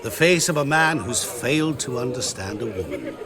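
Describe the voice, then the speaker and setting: A sly, sinister merchant, voiced by a man, lively and sing-song in his phrasing. He sounds smooth and calm, with a quiet threat beneath. A crowd murmurs around him. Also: medium pitch, moderate pace, close to an everyday voice. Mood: playful. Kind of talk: game cutscene voice acting.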